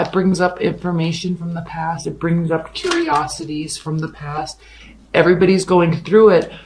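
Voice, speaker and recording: A tarot reader giving a casual love reading, the voice raspy and hoarse from overuse, with a blunt, emphatic pep-talk delivery. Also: medium pitch, a little hiss or hum, poor audio.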